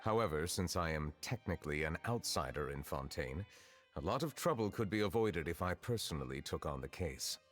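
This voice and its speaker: An actor playing a solemn, reserved chief justice in a video game, speaking formally and gravely in measured phrases. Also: male voice, low-pitched, animated. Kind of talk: scripted video game dialogue. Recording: background music under the voice.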